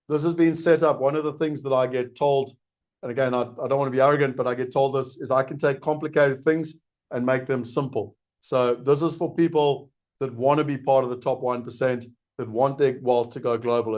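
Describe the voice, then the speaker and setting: Middle-aged South African man, medium voice, earnest live webinar pitch. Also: darkish tone. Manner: earnest, persuasive, asks and answers his own questions. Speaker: investment program host.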